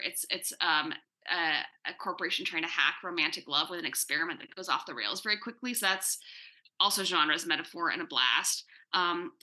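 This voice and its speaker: A woman's voice: lowish voice, pushed and bright, poor audio. A woman chatting casually as an interview guest, enthusiastic and rambling, peppering her talk with "like". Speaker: comics writer and TV writer-producer, interview guest. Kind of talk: casual Q&A chat.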